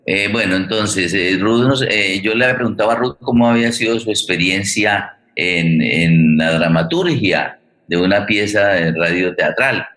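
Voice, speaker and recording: A man's voice: sits low, a bit bright. A man hosting a live online forum conversationally, often repeating words as he gathers his thought. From Colombia. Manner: halting, repeats words and phrases. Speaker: forum host. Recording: echoey room over online stream.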